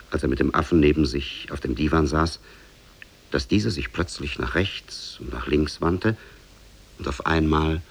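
A man narrating a fairy tale in a measured, scripted 1950s radio storytelling manner. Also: rumbling low, creaky, husky.

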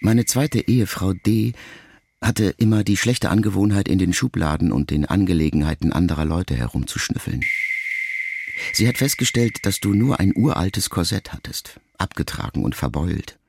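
An actor playing a middle-aged writer son who addresses his mother in a measured, introspective, quietly reproachful radio monologue. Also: deep voice, vocal fry, a little hiss or hum. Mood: wry, rueful. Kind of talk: literary monologue in a radio play.

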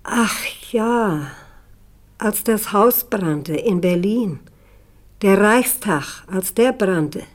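Eine Schauspielerin in einem deutschen Hörspiel spielt eine liebevolle, beschämte Tante, die stockend und vertraulich von dunklen Zeiten erzählt. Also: female voice, mid-range voice, lively intonation, extremely slow. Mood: troubled remembering. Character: ashamed, affectionate aunt recalling dark times. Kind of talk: German radio play dialogue.

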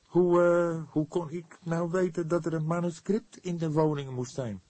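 A man's voice: fairly high pitch, steady tone, moderate pace, a little mellow, no echo, pitched above the performer's own voice, close to an everyday voice. A man voicing an eager young male police detective in an audiobook, hesitant at times, protesting and emphatic. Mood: hesitant, defensive.

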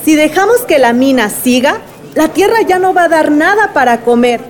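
A woman's voice: high voice, expressive, moderate pace, faint music behind. A woman playing a worried village mother in a radio drama, anxious and given to rhetorical questions.

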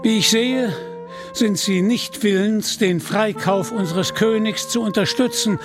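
A man playing a pompous greedy bishop, raised pitch. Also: measured pace, music in the background. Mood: condescending. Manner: pompous, mock-courteous, condescending. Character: greedy, pompous, gluttonous medieval bishop, smugly unctuous. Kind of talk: audio storybook character voice.

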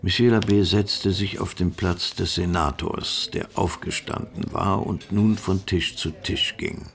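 A man's voice: low-pitched, steady tone, a little slow, creaky. A man narrating a radio drama from a script as the storyteller.

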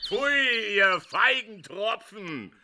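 High, piercing, scornful bandit chief. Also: male voice, lively intonation, drawn-out words, bright, forceful tone, exaggerated voice. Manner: scolding, declamatory. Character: scornful, bullying male leader of a robber band. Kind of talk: radio drama acting.

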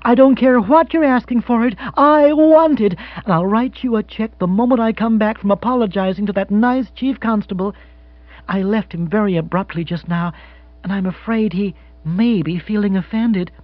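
Man putting on a high, gushing wealthy older lady. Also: normal speed, dry recording, lo-fi sound, lightly acted. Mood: breezy, decided. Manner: effusive, decisive. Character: gushing, imperious wealthy American widow.

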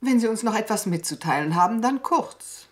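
A woman's voice: on the high side, animated, normal speed, natural voice. An actress in a German radio play playing an imperious, indignant lady of a genteel household, haughty and commanding. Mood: scornful.

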